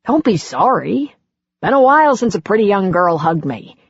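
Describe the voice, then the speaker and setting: Woman narrator doing an easygoing older man, slow amused delivery, slightly husky, dull dry recording. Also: mid-range voice, sing-song, no echo. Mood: teasing. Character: Bob, older ordinary man, easygoing and teasing.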